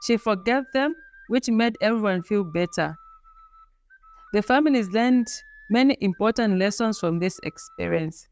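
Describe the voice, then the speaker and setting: A woman's voice: mid-range voice, unhurried, darkish tone. Woman narrating a folktale over music. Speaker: storyteller.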